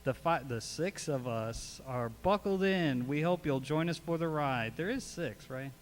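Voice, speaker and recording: A man's voice: on the high side, measured pace, slight background noise. A man hosting a live meetup event, joking at his own expense and introducing guests on stage. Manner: self-mocking, joking about mispronouncing names. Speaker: event host. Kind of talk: casual live emcee banter.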